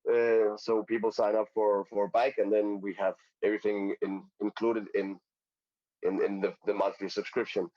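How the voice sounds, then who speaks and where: A man from Denmark, a podcast guest who talks haltingly, repeating words and using many fillers. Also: on the low side, flat delivery, warm tone. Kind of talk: casual interview chat. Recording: lo-fi podcast audio.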